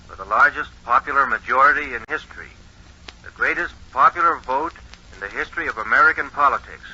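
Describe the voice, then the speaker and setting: An actor playing an earnest 1920s radio announcer in a radio drama, reading out figures with deliberate emphasis. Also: male voice, medium pitch, sharp tone, husky. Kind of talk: 1940s radio drama acting, newsreader delivery. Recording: muffled vintage 1940s radio recording.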